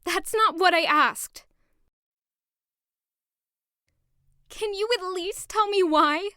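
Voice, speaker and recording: A woman's voice: very high-pitched, unhurried, pushed and bright, no echo, studio quality, full character voice. A woman acting in an audio drama as a wounded young woman confronting her lover, emotional, accusing and pleading. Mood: hurt, demanding.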